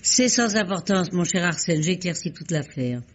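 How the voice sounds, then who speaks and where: A woman's voice: lowish voice, expressive, normal speed. A shrewd, motherly amateur sleuth. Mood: brisk. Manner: composed, motherly, authoritative. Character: older lady sleuth, kindly and shrewd. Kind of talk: 1950s radio drama acting. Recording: dull old radio recording.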